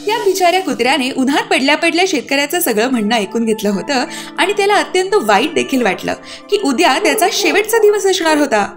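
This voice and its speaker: A woman narrating a scripted children's fairy tale as an expressive storyteller. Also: high-pitched, fast, few pauses. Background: music.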